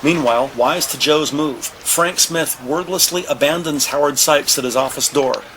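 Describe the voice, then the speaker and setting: A man reading scripted audio drama narration in a measured, descriptive storytelling manner. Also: a little high, a bit bright, slight background noise, poor audio. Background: music.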